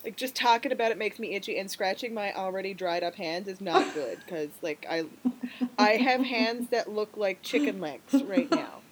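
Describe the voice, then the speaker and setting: A woman's voice: medium pitch, moderate pace, poor audio. A middle-aged woman chatting casually and dramatically, sounding stuffed up and laughing often. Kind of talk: casual chat.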